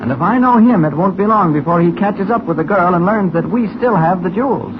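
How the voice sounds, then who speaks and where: An actor playing a calm, kindly newspaper reporter in 1940s radio style, easy and avuncular with a wry touch. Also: male voice, high voice, fairly quick, lightly acted. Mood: wary. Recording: tinny, very noisy old radio recording.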